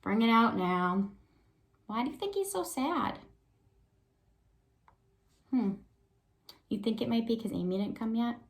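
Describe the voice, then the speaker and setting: A woman's voice: fairly high pitch, sing-song, measured pace, long pauses, a little mellow. A woman teacher giving a read-aloud lesson to young children, explaining simply with encouraging questions and frequent check-ins. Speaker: elementary school teacher.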